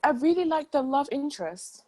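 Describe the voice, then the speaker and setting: A woman's voice: high voice, animated, normal speed, darkish tone, dry recording. A woman playing an upbeat, pushy film producer in a table read. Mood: eager.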